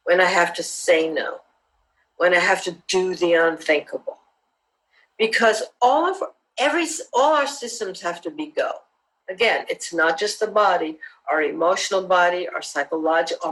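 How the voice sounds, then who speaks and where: A woman and self-defense teacher, speaking as a guest in an online interview in an earnest, measured, motivational way. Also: lowish voice, fairly bright tone, rough recording.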